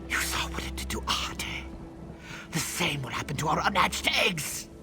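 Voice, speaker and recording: An actor voicing a devout, self-sacrificing reptilian egg keeper in a video game, grave and often hushed to a whisper, with music behind. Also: medium pitch, lively intonation, normal speed, natural voice. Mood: grieving, hushed. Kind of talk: video game character dialogue.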